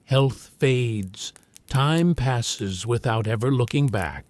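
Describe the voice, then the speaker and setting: A man narrates a scripted guided sleep meditation in a calm, measured, solemn way. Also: mid-range voice, no room sound.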